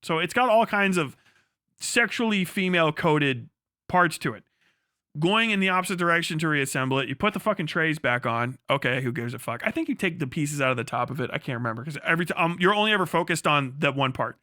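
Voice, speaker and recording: A man livestreaming in a casual, crude, profanity-laced storytelling style as he explains things in an animated way. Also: a little high, quick pace, dry recording, clean studio sound. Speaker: livestream host.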